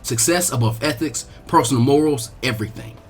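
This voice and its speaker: A man's voice: medium pitch, brisk. A man reading a scripted story aloud as a dramatic narrator. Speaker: narrator of fan fiction readings. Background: music.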